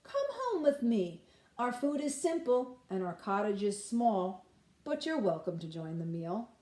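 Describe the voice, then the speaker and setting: A woman voicing a kindly, hospitable poor man in a storybook, with a warm, inviting manner. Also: mid-range voice, sing-song, a little slow, echoey room. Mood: kindly welcoming.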